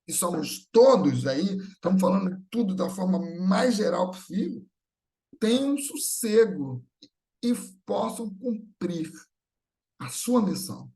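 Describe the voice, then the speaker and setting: Higher-pitched Brazilian man speaking earnestly. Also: sing-song, takes it slowly, gravelly, mellow, rough recording. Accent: Brazilian Portuguese. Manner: earnest, reassuring, repetitive phrasing. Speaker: guest speaker at a Spiritist gathering. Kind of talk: extemporaneous religious talk.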